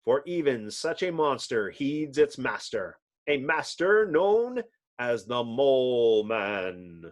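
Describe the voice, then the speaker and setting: A man's voice: medium pitch, sing-song, takes it slowly. A man narrating a comic book dramatically, with theatrical, suspenseful emphasis.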